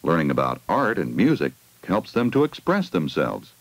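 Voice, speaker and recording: Male narrator for a 1980 school film: low voice, very varied melody, simple explaining and questioning aimed at kids. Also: wildly swooping pitch, normal speed, no echo. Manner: addresses children with simple questions. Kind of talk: scripted educational film narration. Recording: 1980 16mm film soundtrack.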